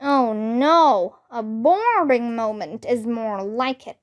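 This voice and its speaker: A woman's voice: fairly high pitch, slow. A woman voicing a sarcastic, groaning schoolboy who hates learning, in a storybook. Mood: dismayed.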